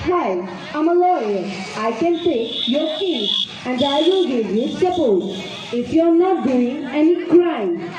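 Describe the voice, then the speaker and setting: A woman's voice: high-pitched, animated, slow pace. A female student performer reciting simple scripted puppet-show dialogue in a rehearsed way. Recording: muffled, very echoey, noisy room with chatter.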